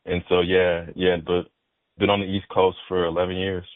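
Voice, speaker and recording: A man's voice: low-pitched, flat delivery, normal speed, no echo. A man from Fort Worth, a guest chatting casually and animatedly on a podcast, cursing freely and repeating words when he gets worked up. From Fort Worth, Texas. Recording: dry, phone-like band.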